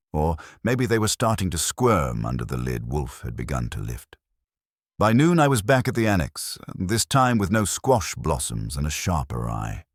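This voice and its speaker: A man narrates a hardboiled mystery in an extremely deep voice with lively intonation. His delivery is wry and clipped, and the recording is dry. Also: dry recording. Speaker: audiobook narrator in the part of a wry detective's assistant. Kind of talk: scripted first-person hardboiled mystery narration.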